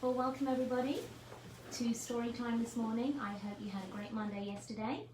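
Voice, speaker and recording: A woman's voice: fairly high pitch, steady tone, fairly quick. A woman leading children's story time as a teacher, encouraging and asking her listeners questions. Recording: echoey room, faint noise.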